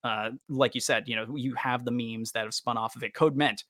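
A man's voice: mid-range voice, steady tone, brisk, a bit bright, no room sound, studio quality. A man hosting a podcast, talking casually and with enthusiasm about fandom. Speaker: podcast host.

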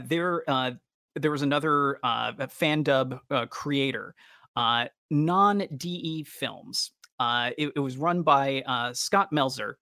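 A man talks casually as a podcast co-host, with noticeable vocal fry in a mid-pitched voice. He is enthusiastic but halting as he works through his thoughts. The sound is close and dry. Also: sharp tone, no room sound. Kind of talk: casual fan podcast discussion.

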